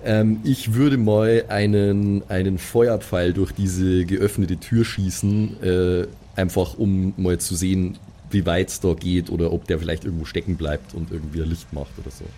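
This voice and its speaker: A man's voice: low-pitched, fairly even intonation, normal speed, hardly any pauses, dark tone, slight background noise. A man playing an improvised tabletop role-playing game, stammering and repeating his words. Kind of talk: improvised in-character role play, casual chat.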